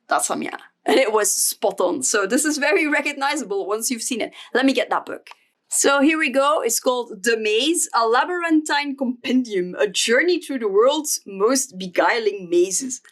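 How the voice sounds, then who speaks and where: A young woman, her Dutch, chats through a travel journal at her desk at home. Her voice sits a little high, and she rambles along with plenty of ums and uhs. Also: a bit bright. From Flemish Belgium. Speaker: vlogger. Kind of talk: casual journal flip-through.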